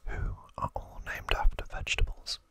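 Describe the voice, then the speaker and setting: A host whispering softly and haltingly close to the microphone, explaining trivia informally in an ASMR style. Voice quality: soft unvoiced whisper.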